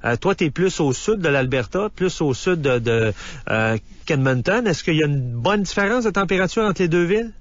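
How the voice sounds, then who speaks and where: A man's voice: on the high side, lively intonation, normal speed. A man with a Quebec French accent hosting a radio show, interviewing a caller in a casual, curious way with many fillers. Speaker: radio host. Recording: dull lo-fi radio broadcast.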